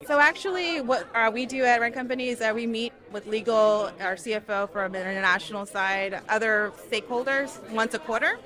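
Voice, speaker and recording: A woman's voice: on the high side, fairly quick, hardly any pauses, pushed and bright. A woman giving an informal account of her company's work practice, as a corporate professional. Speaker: corporate taxpayer at an event. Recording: busy crowd chatter behind voice.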